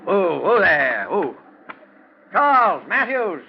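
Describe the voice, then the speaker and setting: An actor playing a guilty, frightened husband in an agitated, melodramatic style of 1940s radio drama. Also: male voice, high-pitched, big pitch swings, slow pace, full character voice. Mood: hearty, impatient. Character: guilty, fearful husband who murdered for an estate. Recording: muffled, noisy old radio recording.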